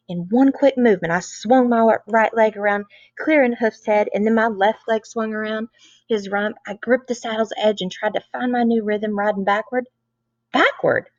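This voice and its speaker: A woman reading a children's novel aloud in an expressive, animated storytelling style. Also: mid-range voice, dry recording. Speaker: read-aloud reader. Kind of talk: expressive children's book narration. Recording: dull, lo-fi close recording.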